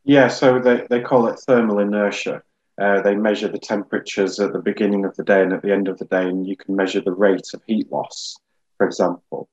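A man in his thirties giving measured explanations as a geologist guest on a webinar video call. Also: on the low side, steady tone, darkish tone, slightly rough, lo-fi sound. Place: small room, indoors, on a video call.

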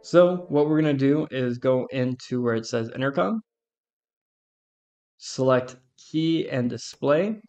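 A man's voice: mid-range voice, animated, slow, a little mellow, muffled recording, faint music behind. A man presenting a step-by-step tech tutorial in an informal, conversational instructional manner. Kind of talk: casual step-by-step software walkthrough.

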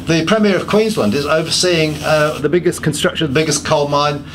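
A man's voice: on the high side, a little fast, noisy background. A man giving a public talk, listing points emphatically and often restarting his sentences with self-deprecating asides. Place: pub function room.

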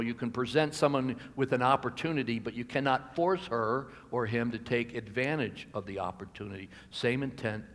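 An American man in his sixties gives a sermon as a pastor, measured and deliberate, pausing for emphasis and letting phrases fall softer. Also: medium pitch. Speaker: pastor preaching. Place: church sanctuary at a pulpit. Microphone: gooseneck pulpit microphone. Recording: reverberant hall, amplified pulpit sound.

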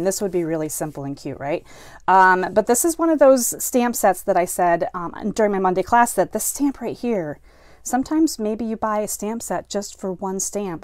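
A woman's voice: mid-range voice, a little mellow, no room sound. Middle-aged woman, craft instructor on a live stream, dry close sound, talks viewers through a card while a little foggy. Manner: chatty, greets viewers while demonstrating. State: foggy-headed, a bit slow today. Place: craft room, indoors.

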